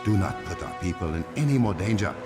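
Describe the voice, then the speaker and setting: A man's voice: low voice, expressive, quick pace, over music. An actor playing a proud, stern warrior king with an African accent, formal and commanding, in a dramatic video game. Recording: loud game score behind.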